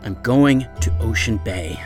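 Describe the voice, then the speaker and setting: A man's voice: lowish voice, a little slow, close to an everyday voice. A man acting a bewildered searcher in an audio drama trailer. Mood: lost, intense. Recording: music under the voice.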